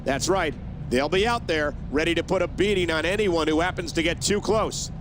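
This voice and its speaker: A man playing an excitable play-by-play wrestling announcer, giving scripted commentary in a video game. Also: fairly high pitch, moderate pace, noisy background, natural voice. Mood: excited build-up.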